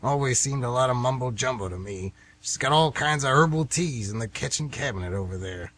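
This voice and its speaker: Male actor as folksy retired grumbler, husky voice with lively swings, rambling and old-fashioned, radio play. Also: medium pitch, brisk. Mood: folksy, chatty. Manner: rambling, folksy, plain-spoken. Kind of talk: radio drama acting.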